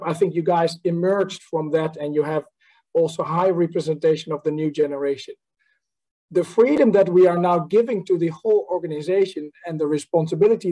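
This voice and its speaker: A man in his forties joins an online panel from home over his laptop mic. His voice sits fairly high with a soft, dark warmth. He talks thoughtfully, often circling back and repeating words. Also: low-quality recording. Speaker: panelist, company managing director. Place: home room on video call.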